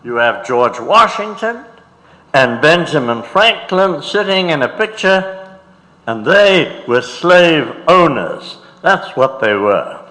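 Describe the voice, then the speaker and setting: Defiant courtroom defendant male actor, deliberate and forceful, big reverberant hall. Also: on the high side, big pitch swings, full character voice. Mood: defiant, insistent. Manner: insistent, deliberate. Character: defiant male defendant demanding his rights. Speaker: reader in staged documentary. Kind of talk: dramatic reading of court transcript. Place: large auditorium. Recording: reverberant auditorium.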